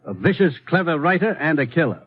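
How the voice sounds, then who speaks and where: An actor playing a stern government commissioner in a 1950s radio drama, formal and authoritative. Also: male voice, a little high, very animated, fairly quick, breathy edge. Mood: grave, urgent. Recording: muffled old radio broadcast recording.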